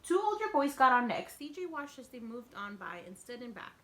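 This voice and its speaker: A woman teacher reading a picture book aloud to young children, expressive and explaining things simply. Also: fairly high pitch, a little fast. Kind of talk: read-aloud storytelling with asides to young listeners.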